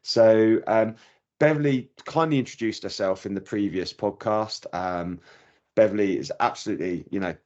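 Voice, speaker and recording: A man's voice: on the low side, moderate pace, darkish tone, dull audio. A man hosting a podcast, talking hesitantly with many restarts, friendly toward his guest. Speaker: podcast host. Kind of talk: podcast interview.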